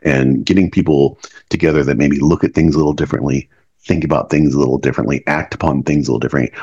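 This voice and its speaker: Very deep, husky-voiced man. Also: steady tone, fast, darkish tone, muffled recording. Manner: earnest, repeats and restarts words often. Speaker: guest, business leader. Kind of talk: reflective podcast conversation.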